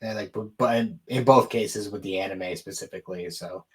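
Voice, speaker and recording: A man's voice: lowish voice, a little fast. A man co-hosting a podcast, talking casually and offhandedly in an informal conversational way. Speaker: podcast co-host. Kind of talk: casual chat about anime and games.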